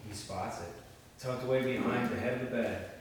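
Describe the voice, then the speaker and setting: A man takes part in a table read, joking casually or reading scripted narration. He has an average pitch and is recorded off-mic, with heavy room echo and faint noise. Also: fairly quick, distant mic. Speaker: participant and reader at a table read. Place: indoor room, mic at a distance.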